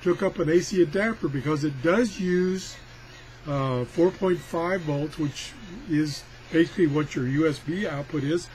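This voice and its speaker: A man with a slightly high voice chats his way through a hands-on demo. He rambles in a folksy, self-deprecating way, as casual as can be. There is some noise behind him. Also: a little mellow, low-quality recording. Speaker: product tester presenting a radio review.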